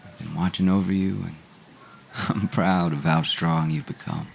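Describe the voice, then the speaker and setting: A man performs a departed lover consoling the one left behind in an audio drama. The voice is very low with a gravelly creak, gentle and intimate, over faint background noise. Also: fairly even intonation, measured pace. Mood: consoling, loving. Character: tender, reassuring departed male lover, speaking through a letter.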